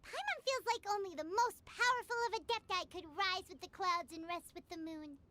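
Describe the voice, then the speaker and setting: A woman voicing a tiny, excitable, childlike fairy companion in a video game, with a squeaky, bubbly, cheeky delivery. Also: brisk, dry recording. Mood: awed, excited. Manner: bubbly, cheeky, refers to self in third person. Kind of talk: scripted game dialogue. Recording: game music behind.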